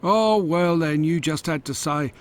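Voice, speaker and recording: Guy doing a shady victorian thug from a game, working-class english, blunt and gruff, drags his words out. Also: a little high, unhurried, lightly acted. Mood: grudging. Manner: rough, blunt, colloquial. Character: gruff, suspicious Victorian male underworld corpse dealer. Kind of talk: video game voice acting.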